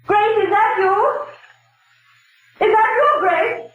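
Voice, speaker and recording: Posh-ish lady in a 1940s spooky radio play, theatrical n halting, muffled hissy old recording. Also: very high-pitched, lively intonation, full character voice. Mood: frightened, awed. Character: frightened, genteel woman recounting ghostly canyon ordeal. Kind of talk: 1940s radio drama acting.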